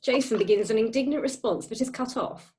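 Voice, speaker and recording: A woman's voice: a little high, brisk, darkish tone. A woman narrator reading a play's stage directions as dramatic scripted narration. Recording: home internet call.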